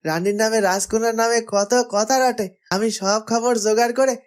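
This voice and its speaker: Female actor as young male street seller, high-pitched, loyal, earnest, cartoon. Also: steady tone, moderate pace, lightly acted. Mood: earnest, determined. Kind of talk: cartoon voice acting.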